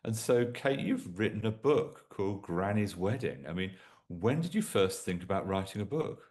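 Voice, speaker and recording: Guy hosting a podcast, really deep voice, lots of yeahs and amazings. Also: very animated, normal speed, a little mellow. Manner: short encouraging interjections. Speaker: podcast host. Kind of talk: interview.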